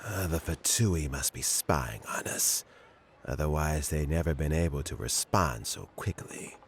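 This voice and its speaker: A man voicing a measured, formal male advisor in a video game, speaking in a thoughtful, deliberate way. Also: rumbling low, expressive, creaky, slight background noise, exaggerated voice.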